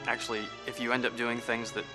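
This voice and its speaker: A man's voice: medium pitch, little pitch movement, fast. A man speaking as a composer in an interview about his own work. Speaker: film and game composer. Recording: music playing behind the voice. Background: background music.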